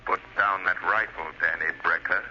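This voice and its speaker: Mysterious stern avenger, low but super sharp filtered voice, old radio show. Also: male voice, fairly even intonation, normal speed. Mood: commanding. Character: commanding, mysterious invisible male avenger. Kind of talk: 1930s radio drama acting. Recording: muffled, hissy 1930s radio recording.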